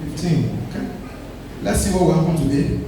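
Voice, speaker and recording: A man's voice: a little high, animated, a little fast, gravelly, heavy reverb, recorded from a distance, background noise. A male pastor preaching a sermon with emphatic delivery, calling the congregation to respond.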